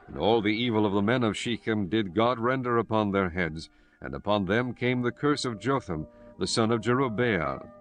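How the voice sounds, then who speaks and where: A man narrating a dramatized Bible audiobook in measured, solemn storytelling with archaic diction. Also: on the low side. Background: prominent music.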